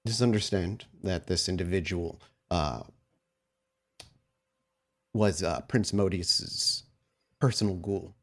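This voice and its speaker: A man plays a formal, reserved male envoy of a vampire prince in a tabletop horror game. He is terse and businesslike, delivering his instructions with deliberate phrasing. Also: deep voice, pitched below the performer's own voice. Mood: solemn, businesslike.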